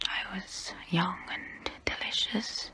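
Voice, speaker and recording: Woman whispering as a slow, intimate female vampire. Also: sharp tone. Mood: intimate, wistful. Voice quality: breathy whisper. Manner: slow, intimate, close-up, deliberate pauses. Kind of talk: whispered ASMR role play monologue.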